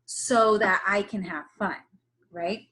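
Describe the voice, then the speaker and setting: A woman teacher leading a reading lesson for young children, instructing in an encouraging way with pauses for them to repeat. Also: medium pitch, steady tone, fairly bright tone. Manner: instructional, encouraging, pausing for repetition. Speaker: early-grades teacher. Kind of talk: slow read-aloud phonics lesson for young children.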